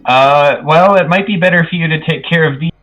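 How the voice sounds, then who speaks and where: A man's voice: fairly high pitch, a little fast, a bit bright, dull audio. A man playing a tabletop game, chatting casually about tactics and the fight. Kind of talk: casual chat. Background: background music.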